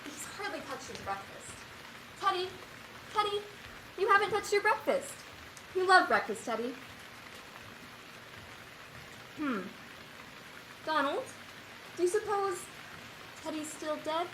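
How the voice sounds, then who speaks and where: A young woman playing a dotty, doting mother in stage comedy, fussy and mock-genteel. The voice sits high and carries from a distance, with clear noise around it. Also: very animated, unhurried, long pauses, exaggerated voice. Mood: fussy denial. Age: teen. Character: dotty, doting wife and mother in stubborn denial. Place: school theatre stage. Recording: echoey noisy stage, distant mic.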